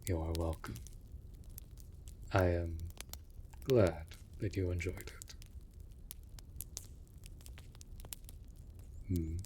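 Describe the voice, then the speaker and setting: Guy acting as a low slow gentle vampire lord, very formal and protective, audio drama thing, water noise behind him. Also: fairly even intonation. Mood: calm, reassuring, then flustered, tender. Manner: formal, measured, gentle, with breathy murmurs. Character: courtly, protective male vampire master of a manor. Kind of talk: scripted roleplay audio drama addressed to the listener.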